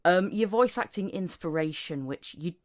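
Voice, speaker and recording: A woman's voice: on the low side, lively intonation, normal speed. A woman podcast host with a Northern English, Yorkshire-tinged accent, chatting casually and rambling with many restarts. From Northern England. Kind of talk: casual chat.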